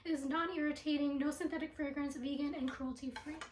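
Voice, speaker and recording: A young woman talks through a skincare haul in a casual vlog, filling her sentences with ums and likes. Her voice sits high and is a little raspy from allergies. The sound bounces around an echoey room. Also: steady tone, fairly quick, slight background noise. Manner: chatty with many ums and likes. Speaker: beauty product reviewer. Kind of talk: casual product review vlog.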